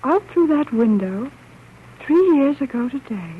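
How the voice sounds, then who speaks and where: A self-possessed teenage girl in an old radio play. She is polite, grave and measured, with a rather high voice. The sound is thin and tinny, like a phone line. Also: animated, slight background noise. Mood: solemn, hushed. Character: composed, solemn girl of about fifteen, storyteller. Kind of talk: 1930s radio drama acting.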